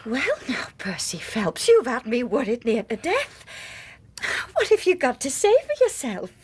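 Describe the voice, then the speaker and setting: An actress playing a tender, anxious young woman soothing an invalid gently in a radio drama. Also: on the high side, big pitch swings, measured pace, husky, exaggerated voice. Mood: relieved, fussing.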